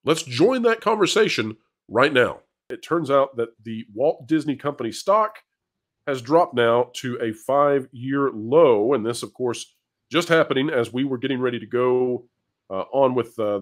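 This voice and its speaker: Deeper-voiced man hosting news commentary. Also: lively intonation, normal speed. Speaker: host of an entertainment business news channel. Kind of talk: commentary and panel discussion.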